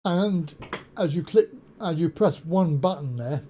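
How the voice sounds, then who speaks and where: A man walks through a piece of software at a slow, deliberate pace, pausing often on ums and uhs. His voice is deep and mellow, a little higher-pitched than most men. A faint background hiss sits under the desk-mic recording. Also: lively intonation. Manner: unhurried, explanatory, many ums and uhs. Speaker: software tutorial presenter. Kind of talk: screen-recorded software walkthrough. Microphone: desk USB microphone.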